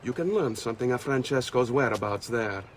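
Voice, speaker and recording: An actor playing a sly, self-assured master thief with an Italian accent, teasing and theatrical in a game cutscene. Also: male voice, mid-range voice, animated, brisk, lightly acted. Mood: brisk, teasing. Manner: teasing, theatrical, chuckling knowingly. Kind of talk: video game cutscene acting. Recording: faint background noise and ambience.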